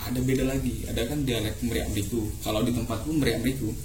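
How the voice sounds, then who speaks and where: A man's voice: mid-range voice, fairly even intonation, quick pace. A young man from Lombok, chatting casually and patiently explaining words with repeated examples. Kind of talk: casual chat. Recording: echoey room with audible noise.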